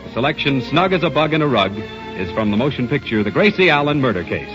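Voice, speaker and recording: A man's voice: medium pitch, big pitch swings, fast. An American man reading scripted announcements and commercials as a 1930s radio announcer. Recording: dull 1930s radio recording with music.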